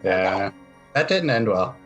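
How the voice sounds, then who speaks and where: A man with a slightly low voice speaks over music. He improvises a tabletop game in a casual, halting way, often doubling back on his words. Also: very animated, fairly bright tone. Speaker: game master and player. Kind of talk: improvised tabletop roleplay narration and casual chat. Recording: music playing under the voice.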